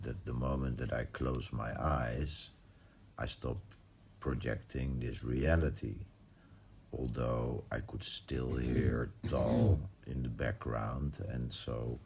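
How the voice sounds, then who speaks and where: A man musing aloud in casual, reflective spiritual talk, with a halting delivery and a gravelly voice. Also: a bass voice, darkish tone, a little hiss or hum.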